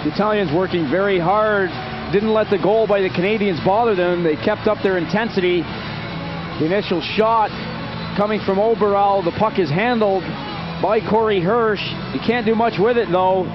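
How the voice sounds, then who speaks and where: High-voiced American-raised hockey analyst. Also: male voice. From United States. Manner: analytical, brisk replay talk. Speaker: color commentator. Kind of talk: sports commentary. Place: commentary booth at an ice hockey arena. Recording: dull broadcast audio with music behind.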